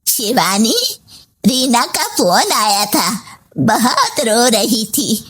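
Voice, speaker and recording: Slow, scolding older mother-in-law acted by a woman. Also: on the high side, expressive, a bit bright, slightly rough, full character voice. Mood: aggrieved. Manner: scolding, complaining, drawn-out. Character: domineering, demanding older mother-in-law, sharp-tongued and partial. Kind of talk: story voice acting.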